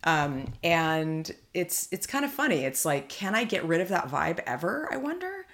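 Low-voiced woman chatting with lots of fillers. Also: big pitch swings, moderate pace, a bit bright. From California. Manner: rambling, thinks aloud with many fillers. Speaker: podcast co-host. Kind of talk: casual chat.

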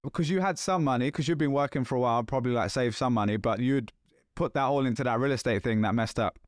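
A man in his thirties with a London accent, hosting a casual podcast chat in a chatty, animated way with loose slang. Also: medium pitch, fast talker, dry recording, clean studio sound. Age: 30s. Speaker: podcast host.

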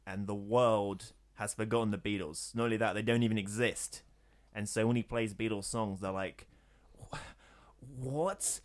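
A man with a lowish voice chats as a podcast co-host. He rambles in a wry, conversational way. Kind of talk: casual chat.

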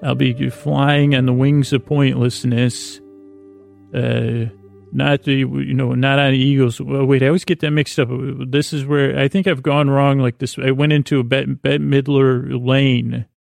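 A man with a creaky voice hosting a bedtime podcast in a meandering, improvised monologue, halting and often trailing off mid-sentence. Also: mid-range voice, flat delivery, darkish tone, no echo, faint music behind. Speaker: podcast host.

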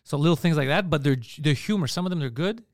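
Fast-talking man with a Montreal Greek accent. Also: on the high side, no echo, clean studio sound. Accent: Montreal Greek (Park Extension). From Montreal, Canada. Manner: animated, swears freely, quick restarts. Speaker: comedian guest. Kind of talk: casual podcast chat.

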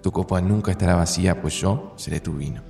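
A frazzled, dramatic Argentine groom in an audio drama. His voice sits a little low and creaks, and he sounds harried, with faint music in the production. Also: brisk, mellow. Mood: solemn, tender. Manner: harried, stammering. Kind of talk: Spanish-language audio fiction acting.

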